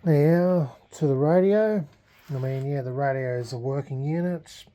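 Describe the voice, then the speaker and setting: Australian male hobbyist vlogger, relaxed dark tone, hesitant and unscripted delivery. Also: mid-range voice. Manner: halting, hesitant, unscripted delivery with many pauses. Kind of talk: casual restoration progress vlog.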